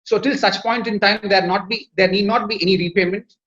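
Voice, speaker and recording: A man's voice: high-pitched, fairly even intonation, fairly quick, fairly bright tone, lo-fi sound. A man from India with an Indian English accent, a Tamil native speaker, speaking formally as a lawyer on a webinar panel with frequent fillers. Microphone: video call.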